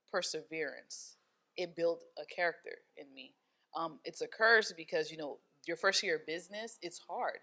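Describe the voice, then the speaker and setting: Low-voiced woman, rambling interview talk. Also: a bit bright. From Haiti. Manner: rambling, many fillers and restarts. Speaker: hair studio owner, guest.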